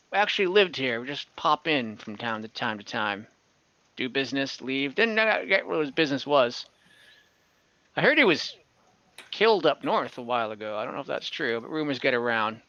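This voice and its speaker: A man voicing a gruff, laconic old male bartender who trades gossip in clipped, hesitant bits. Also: medium pitch, sing-song, moderate pace, bright, forceful tone, dry recording. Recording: dull dry lo-fi audio.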